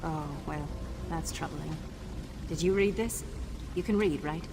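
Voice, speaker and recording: A sardonic video game investigator with a lowish voice. She is brusque, businesslike and deadpan, heard over clear ambient noise. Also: unhurried. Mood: concerned, pensive. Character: dry, sardonic, businesslike woman investigating a daedric plot. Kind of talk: video game character dialogue.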